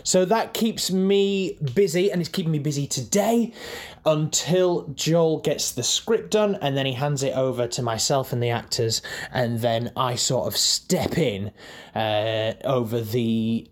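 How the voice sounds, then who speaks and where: A man's voice: medium pitch, measured pace. Male podcast director, mid-range voice, informal and rambling, lightly self-deprecating behind-the-scenes chat. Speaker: podcast director, editor and voice actor.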